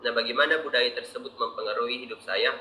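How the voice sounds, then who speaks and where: A young Indonesian man from Makassar with an Indonesian accent, answering interview questions in a measured, methodical way with frequent fillers. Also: medium pitch, pushed and bright, a little hiss or hum. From Makassar, South Sulawesi, Indonesia. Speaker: interviewee, engineer. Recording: roomy, distant mic, faint ambience.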